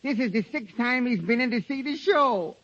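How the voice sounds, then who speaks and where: An actor playing a gabby, wry burlesque player in a 1930s radio drama, slangy and joking. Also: male voice, extremely high pitch, lively intonation, normal speed, a bit bright, full character voice. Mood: wry, chatty. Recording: muffled, reverberant old radio broadcast.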